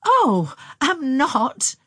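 A woman voicing a brisk, blunt and curious young country girl in colloquial, exclamatory audiobook dialogue. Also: medium pitch, very animated, husky, dry recording, studio quality. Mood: shrinking.

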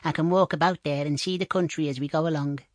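A man acts out a thumb-sized storybook boy, pitched far up from a grown voice. He is plucky, impish and cheeky, piping his words boldly in a very dry recording. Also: steady tone, fast, no room sound, natural voice. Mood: chirpy, plaintive.

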